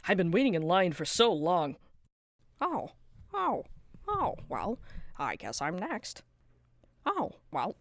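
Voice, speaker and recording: Girl doing a snarky dismissive cartoon rabbit guy, swings all over in pitch, a bit hoarse. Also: dry recording, clean studio sound, full character voice. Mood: sarcastic. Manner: sarcastic, dismissive, mocking laughs. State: sometimes hoarse and nasal from being sick. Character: smug sarcastic male cartoon rabbit. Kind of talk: fan voice acting for an animation storyboard.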